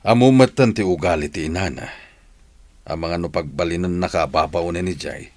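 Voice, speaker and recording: A man playing a kindly older uncle in a radio drama, speaking in a slow, fatherly manner. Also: low-pitched, no room sound, natural voice. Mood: earnest, caring. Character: older gruff kindly uncle supporting his nephew's studies.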